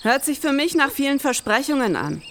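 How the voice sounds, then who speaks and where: A woman's voice: sits high, very animated, fairly quick, a bit bright, a little hiss or hum. A woman hosting an investigative podcast in scripted, dramatised style, as narrator and interviewer.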